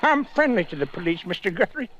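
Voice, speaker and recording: A man's voice: high-pitched, very animated, fast talker, over loud music. A man playing a guarded, evasive older local in a 1950s radio drama, answering in clipped, reluctant, repetitive phrases. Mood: defensive. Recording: muffled 1950 radio recording.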